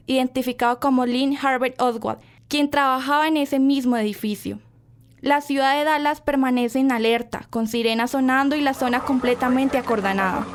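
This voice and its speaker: A woman acts as a female radio newsreader of the 1960s, with a high voice. She announces breaking news formally and urgently, in scripted bulletin style for an audio drama. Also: lightly acted.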